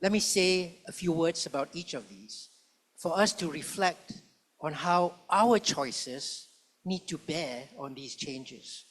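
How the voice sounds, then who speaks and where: Measured man lecturing on a panel. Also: fairly high pitch, fairly bright tone. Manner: measured, rhetorical, slows for emphasis. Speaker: panellist, public policy professor. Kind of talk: panel talk. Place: conference hall.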